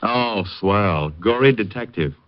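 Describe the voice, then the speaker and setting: A man's voice: on the low side, animated, measured pace. An actor playing a wisecracking male private detective in a 1950s radio drama, wry and teasing with dry jokes. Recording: dull 1950s radio broadcast recording.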